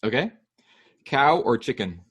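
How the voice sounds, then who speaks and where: A middle-aged man hosting a casual interview podcast, upbeat and quick with his questions, laughing easily. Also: medium pitch, big pitch swings, fairly bright tone, breathy edge. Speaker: podcast host.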